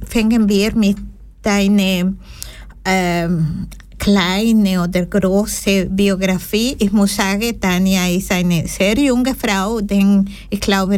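A woman hosting a radio show from the studio, talking at an unhurried pace into the microphone. She hesitates often and restarts her phrases. Her pitch sits mid-range. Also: darkish tone. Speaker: radio host. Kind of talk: community radio interview.

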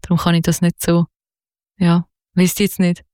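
A woman's voice: fairly low pitch, monotone, normal speed, warm tone, dry recording, clean studio sound. A young woman from Zurich speaking with a Zurich Swiss German accent, a singer-songwriter talking casually in an interview, hesitant with many fillers and restarts. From Zurich, Switzerland.

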